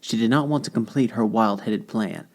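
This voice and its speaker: A man narrating a dramatic scripted story in a deliberate, solemn manner. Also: lowish voice. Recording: music behind the voice. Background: instrumental music.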